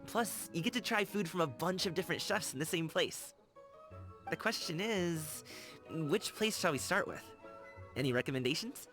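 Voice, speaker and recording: An earnest, friendly young guard , acted by a man from a video game, hardworking and sincere. His voice sits a little high for a man, with music playing behind it. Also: expressive, normal speed, natural voice. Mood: warm, eager. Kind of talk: game character voice line.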